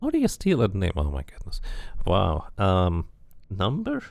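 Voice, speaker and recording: Brief: low-voiced male streamer, gravelly and lively, musing casually between dialogue choices. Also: unhurried, dry recording. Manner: musing, chatty, thinks aloud to viewers. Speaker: game streamer. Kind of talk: casual let's-play commentary.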